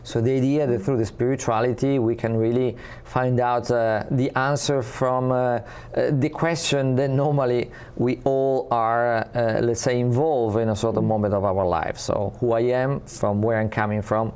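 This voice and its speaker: A man from Italy with an Italian accent, a guest in an interview, speaking earnestly and often pausing to find his words. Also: mid-range voice, steady tone, darkish tone, smooth. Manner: earnest, searching for words with many pauses. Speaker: guest, spiritual community ambassador.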